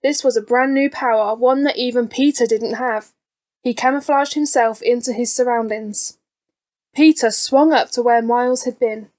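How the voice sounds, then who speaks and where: A woman's voice: a little high, fairly even intonation, normal speed, a little mellow. A woman reading a scripted children's storybook as an audiobook narrator. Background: faint background music.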